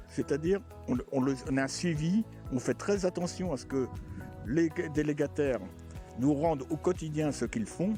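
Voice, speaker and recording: A man speaking as a local elected official on location in a village, giving an institutional statement in short, deliberate phrases. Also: on the high side, dark tone.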